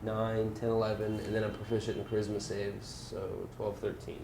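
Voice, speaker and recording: A man's voice: on the low side, monotone, a little fast, warm tone, slight background noise. A man playing a tabletop game, talking casually and thinking aloud as he decides his moves. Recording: roomy echo with music behind.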